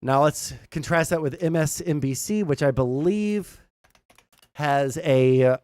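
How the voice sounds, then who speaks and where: A man's voice: a little high, unhurried, a little mellow, smooth, no room sound. A young American man giving casual, rambling, blunt political commentary as a livestream host, swearing freely. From southern United States.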